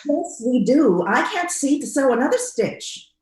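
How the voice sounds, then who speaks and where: An actress playing a matronly sister in an old-time radio style ghost drama. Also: female voice, high voice, lively intonation, a little slow, a little mellow, roomy sound. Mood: exasperated. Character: Mrs. Brigham, placid matronly sister turning horrified.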